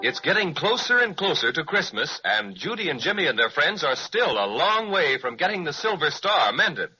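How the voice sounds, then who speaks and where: A man narrating a children's radio serial in an animated storytelling manner. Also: on the high side, normal speed, faint music behind. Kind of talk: scripted narration. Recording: dull lo-fi 1930s radio recording.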